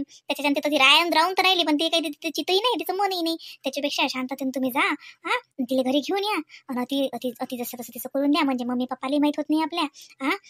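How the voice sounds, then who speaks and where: Woman acting fast, high-pitched, coaxing Marathi sister-in-law. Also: fairly bright tone, no room sound, clean studio sound. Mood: gentle persuasion. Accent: Vidarbha Marathi. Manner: coaxing, preachy, frequent questioning tags. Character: earnest, persuasive sister-in-law counselling a young man. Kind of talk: cartoon dialogue in rural dialect.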